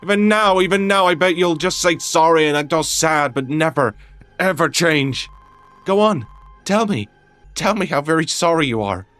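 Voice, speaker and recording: A man's voice: mid-range voice, animated, fairly quick, faint music behind, close to an everyday voice. A man playing a wounded, volatile young man in a drama, a possessive friend who pleads, accuses and guilt-trips with emphatic delivery. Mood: furious, bitter. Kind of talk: dramatic dialogue acting.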